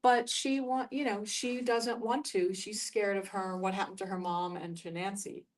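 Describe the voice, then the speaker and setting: A woman's voice: mid-range voice, fairly even intonation, moderate pace. A woman facilitating a casual group discussion in a conversational way. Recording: distant microphone in group setting.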